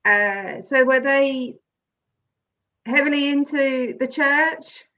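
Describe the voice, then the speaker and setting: Woman hosting an interview, bright voice, unhurried, chatty, a bit lo-fi. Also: high-pitched. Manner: chatty and encouraging, laughs often. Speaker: podcast host. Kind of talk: casual interview.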